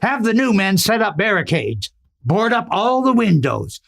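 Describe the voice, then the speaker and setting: A man plays a Western cattle boss in a radio play, high-pitched and very animated. He is stern, distrustful and commanding, with an American accent. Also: normal speed, vocal fry, exaggerated voice. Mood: stern, determined. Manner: commanding, clipped orders. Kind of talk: old-time Western radio drama acting.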